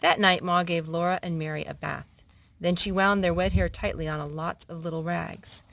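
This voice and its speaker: A woman's voice: fairly low pitch, fairly even intonation, moderate pace, creaky, fairly bright tone. A woman reading stories aloud for children, now and then correcting a slip as she goes. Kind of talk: read-aloud children's storytelling.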